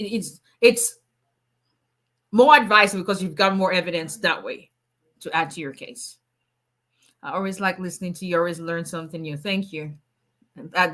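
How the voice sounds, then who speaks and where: A middle-aged woman with a Nigerian accent, an immigration attorney answering viewer questions in a casual livestream, with a strong, loud voice. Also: fairly low pitch. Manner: loud, strong voice, laughs often. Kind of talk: livestream Q&A reading viewer comments.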